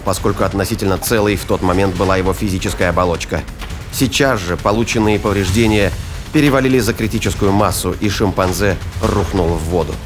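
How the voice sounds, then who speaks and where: A man delivering scripted dramatic narration for a science fiction audio play. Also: on the low side. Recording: prominent music and battle effects behind.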